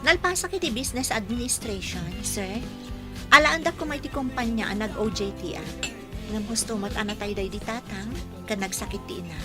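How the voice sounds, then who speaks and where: An actress playing a plucky young job-seeker, earnest and polite but ready to talk back, in a Philippine radio drama. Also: female voice, medium pitch, normal speed, lightly acted. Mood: humble. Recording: loud music bed under dialogue.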